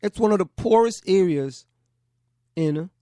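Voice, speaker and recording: A man from Trinidad and Tobago, hosting a call-in radio show and speaking in measured, short broken phrases. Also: high-pitched, lively intonation, mellow, no room sound, clean studio sound. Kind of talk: call-in radio talk monologue.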